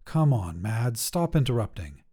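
A male narrator reads scripted short fiction. His mid-pitched voice is dark and relaxed, and he takes his time. The delivery is dramatic, made for an audio story. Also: measured pace, husky.

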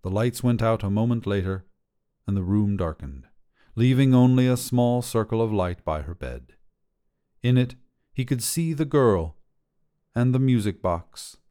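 Mellow-voiced man narrating fiction. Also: on the low side, lively intonation, a little slow. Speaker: story narrator. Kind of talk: scripted fiction narration, storytelling.

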